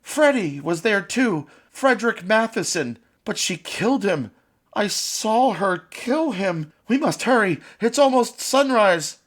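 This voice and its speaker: A frightened but brave young girl, voiced by a man. Her voice runs high and lively, urgent and pleading. She sounds like a child in a storybook. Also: a little slow. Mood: desperate.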